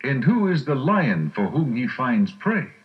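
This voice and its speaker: A man's voice: medium pitch, big pitch swings, measured pace, voice pushed up. A man voicing a polite, coolly inquisitive aristocratic don in a storybook. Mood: coolly musing. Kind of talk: dramatised storybook reading. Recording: muffled storybook recording.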